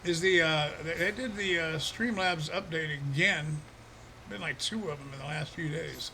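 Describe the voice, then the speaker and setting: Relaxed man streaming a farming game: froggy throat, fairly high, bright voice, desk mic, faint hiss. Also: normal speed. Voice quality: froggy, slightly hoarse throat. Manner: easygoing, chuckling, talks to chat by name. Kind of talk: casual live-stream chat while playing a farming game.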